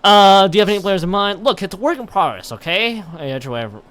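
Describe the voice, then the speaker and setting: A man's voice: high voice, lively intonation, fast, fairly bright tone, clear, resonant tone. A man livestreaming, chatting and reading a visual novel aloud, often stumbling over and rereading his lines. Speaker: live streamer.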